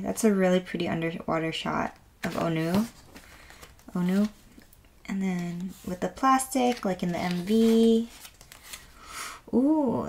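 Soft-spoken raspy young woman, slow. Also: medium pitch, a little hiss or hum. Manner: soft, rambling, gushing with many fillers. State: raspy voice today. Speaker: album unboxing vlogger. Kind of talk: casual unboxing chat. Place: indoors at a wooden table.

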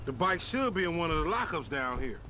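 A man's voice: fairly high pitch, very animated, a little fast, pushed and bright. An actor playing a hot-headed young male gangster in a video game, boastful and profane, talking in slangy street speech. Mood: cocky. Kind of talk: video game cutscene acting. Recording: game sound effects and noise behind.

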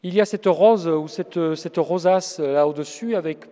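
A middle-aged man interviews someone with playful, leading questions, his voice dark and warm but pitched a little high. He talks through a face mask into a handheld mic. The long reverb of a stone chapel hangs behind him. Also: moderate pace. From France. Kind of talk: casual interview. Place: large former chapel, indoors.

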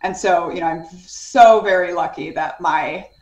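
A woman's voice: lowish voice, unhurried, a little mellow, reverberant, low-quality recording. A woman in her thirties, an author talking earnestly and reflectively as a guest on a video call from her home study. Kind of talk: conversational interview.

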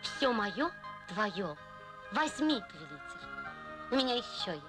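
An actress playing a beautiful young maiden, coquettish and devoted, in a folk-tale cartoon. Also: female voice, mid-range voice, big pitch swings, measured pace. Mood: devoted, pleading. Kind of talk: animated film dialogue. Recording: loud music over old film soundtrack.

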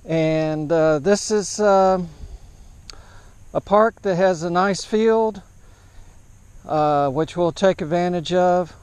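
Slow, halting man with a higher-pitched voice. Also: a little mellow, smooth, dry recording. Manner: halting, hesitant, matter-of-fact. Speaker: amateur radio operator, vlog host. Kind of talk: casual outdoor setup vlog narration. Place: large open grassy park field, outdoors.

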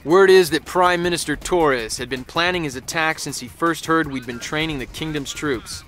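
A cynical American soldier, acted by a man narrates a war game in colloquial, sardonic style. His voice is forceful and bright, a little high for a man. Faint music plays behind him. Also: moderate pace, close to an everyday voice. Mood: wry, resolute. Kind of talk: dramatic scripted first-person narration.

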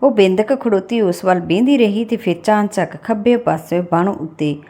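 A woman reads a literary story aloud as an audiobook narrator, with expressive delivery. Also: mid-range voice, moderate pace.

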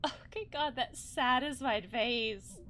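A woman, perhaps in her thirties, giving expressive, exclaiming reaction commentary as she plays a video game. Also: high voice, slow pace. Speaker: counselor playing and commenting on a game. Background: game music.

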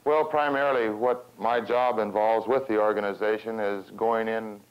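An American man speaks in a very low voice with little rise or fall, picking his way haltingly through long, roundabout sentences. He sounds measured, as in a formal interview. The sound is slightly dull, like an old film soundtrack. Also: steady tone, smooth. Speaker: farm organization representative and cattle rancher. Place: small wood-panelled room, seated at a table.

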